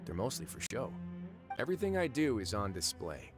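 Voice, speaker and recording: An actor playing a composed, shrewd duke in an anime-style video game, speaking with wry, measured authority. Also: male voice, fairly low pitch, very animated. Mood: wry, earnest. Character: composed, wry adult male duke, shrewd and fair-minded. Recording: music playing behind the voice.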